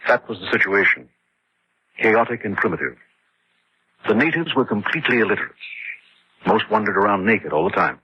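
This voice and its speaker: A very husky, fairly low-voiced man playing a weary engineer in a radio horror play. His delivery swings widely in pitch as he narrates and acts, on a dull lo-fi recording. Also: animated, brisk, pauses often. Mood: grim recounting. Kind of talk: radio drama narration and acting.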